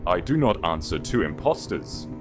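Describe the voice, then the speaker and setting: A man as a low, rumbling, menacing war duke. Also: very animated, moderate pace, over music. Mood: defiant. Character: feared, unbending war duke, calm and menacing.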